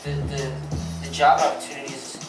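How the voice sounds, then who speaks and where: A man's voice: medium pitch, monotone, brisk. A man in a casual group chat who restarts his sentences and circles back to his point. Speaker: group discussion participant. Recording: echoey room, distant mic, loud music behind.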